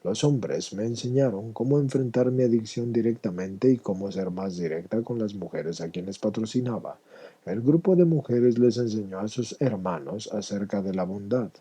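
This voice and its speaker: Hombre de voz muy oscura, algo ronca. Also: male voice, on the low side, normal speed, mellow, husky. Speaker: narrator reading a personal testimony. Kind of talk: scripted read-aloud narration.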